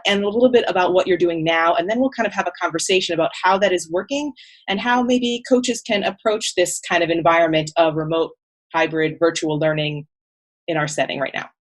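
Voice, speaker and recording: A woman's voice: on the low side, brisk. A woman hosting a podcast who introduces her guest and asks long, loosely built, friendly questions. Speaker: podcast host. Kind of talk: interview host introducing a guest and asking questions.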